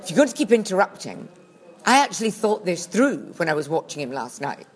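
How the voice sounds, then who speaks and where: Loud, grumpy woman co-host with a lower voice, bickering on a phone-recorded podcast. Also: very animated, brisk. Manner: blunt, grumpy and sardonic, loud. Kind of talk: bickering casual podcast chat. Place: busy charity shop. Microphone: phone held up by co-host.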